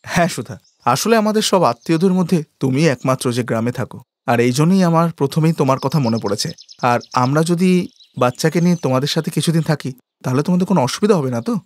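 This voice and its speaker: A man playing a well-off, demanding city brother-in-law in a cartoon story, assertive and expressive. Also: a little high, fast talker, lightly acted. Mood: casual requesting. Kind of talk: cartoon moral story acting.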